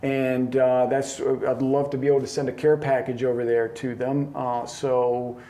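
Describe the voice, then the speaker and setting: A man's voice: mid-range voice, little pitch movement, moderate pace, dark tone. A middle-aged man from Colombia chatting casually as a disc golf coach, with an enthusiastic manner. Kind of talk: casual chat.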